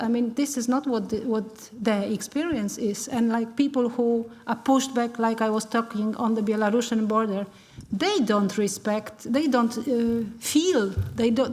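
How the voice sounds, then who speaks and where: A woman from Poland speaking on a discussion panel in a large hall, earnest and halting with many restarts. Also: on the high side.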